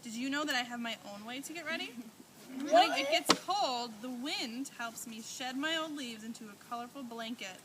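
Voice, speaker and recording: A patient, kindly old oak tree, voiced by a woman, speaks in a puppet show. Her voice is high for a grown-up, with loud noise all around it. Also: normal speed, close to an everyday voice. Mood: kindly, unhurried. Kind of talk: children's puppet show storytelling. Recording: very noisy amateur room recording.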